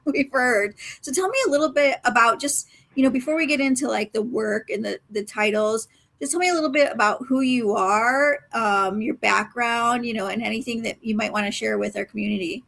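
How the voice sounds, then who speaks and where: A woman's voice: fairly high pitch, gravelly, fairly bright tone. A woman hosting an interview, introducing her guest in a chatty way with many fillers and restarts. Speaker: host.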